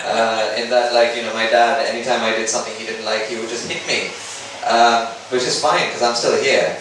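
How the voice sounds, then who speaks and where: A man's voice: medium pitch, steady tone, fairly quick. A man from Sri Lanka doing a conversational, self-deprecating stand-up comedy routine. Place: indoor live comedy event. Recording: very echoey room, distant mic, background noise.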